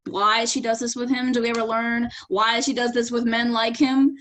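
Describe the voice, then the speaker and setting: A woman's voice: a little high, flat delivery, moderate pace, a bit bright, a little hiss or hum, lightly acted. A woman playing a blunt, dryly sarcastic critic in a staged play reading. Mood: blunt, critical. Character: blunt, sardonic woman critiquing a would-be writer's work. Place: remote virtual staged reading.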